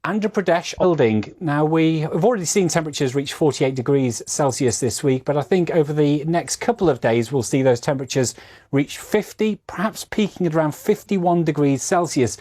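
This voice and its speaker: A man gives a weather forecast in a slightly raised voice. He explains the conditions in a steady, measured way. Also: fairly high pitch. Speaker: weather presenter.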